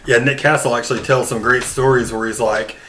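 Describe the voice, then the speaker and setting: Bright-voiced man chatting casually. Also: medium pitch, fairly even intonation, a little fast, slight background noise. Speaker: podcast panelist. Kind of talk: casual joking chat.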